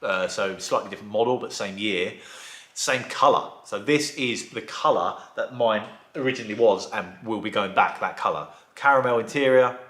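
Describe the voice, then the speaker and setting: A man who restores classic cars, chatting casually in a vlog, with a croaky voice from a sore throat. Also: lowish voice, normal speed, fairly bright tone, reverberant. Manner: chatty, rambling, enthusiastic. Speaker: vlogger and car restorer.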